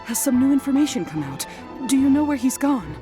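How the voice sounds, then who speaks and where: An actress playing a weary, apologetic wife in an anime video game, speaking politely and plaintively. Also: female voice, sits high, big pitch swings, a little fast, music in the background. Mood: anxious.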